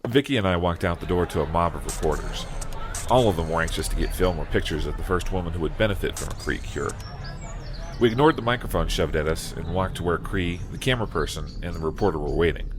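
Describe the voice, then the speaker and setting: Man acting deep-voiced devoted husband, noisy track. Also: natural voice. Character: devoted husband of an ailing wife, anxious, first-person narrator. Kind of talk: audio drama acting and narration. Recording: clearly noisy audio drama track.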